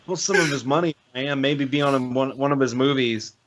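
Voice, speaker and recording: A man co-hosting a podcast, chatting about wrestling in an informal, animated way. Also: mid-range voice, moderate pace. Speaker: podcast co-host. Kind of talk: casual chat about wrestling. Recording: noticeable background noise.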